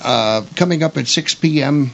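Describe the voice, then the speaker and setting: A man's voice: on the high side, normal speed, gravelly, highs cut off, slight background noise. A man co-hosting a casual radio chat show in a folksy, jokey way.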